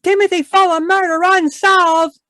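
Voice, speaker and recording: High, loud street newsboy. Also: male voice, level tone, moderate pace, no echo, clean studio sound. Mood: urgent hawking. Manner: loud, high-pitched hawking cry. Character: shouting newsboy hawking papers in the street. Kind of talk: old-time radio acting.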